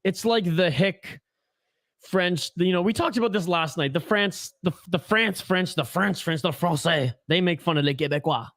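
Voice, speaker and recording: A man's voice: sits high, moderate pace, fairly bright tone, dry recording, clean studio sound. A young Canadian man hosting a live hockey watch-along, chatting casually, reading viewer comments aloud and swearing freely. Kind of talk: casual live-stream chat.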